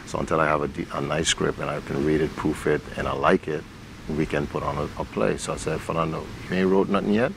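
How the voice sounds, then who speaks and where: A man's voice: very deep voice, steady tone, fast talker. A man, an interview guest talking informally and telling stories in colloquial speech. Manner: informal, colloquial, acts out quoted dialogue. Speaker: interview guest, stage actor and director. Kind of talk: conversational storytelling. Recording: clearly noisy background.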